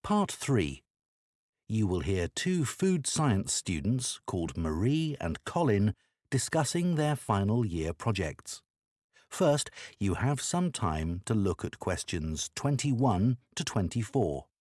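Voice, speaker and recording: A man reading scripted exam instructions as an announcer, in a formal, measured manner. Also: fairly low pitch, big pitch swings, a little mellow, no echo, clean studio sound.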